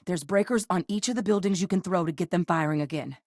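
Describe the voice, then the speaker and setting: An actress playing a composed, determined female military researcher in a sci-fi video game, speaking in a measured, earnest way. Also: lowish voice, darkish tone, dry recording, studio quality. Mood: focused, businesslike.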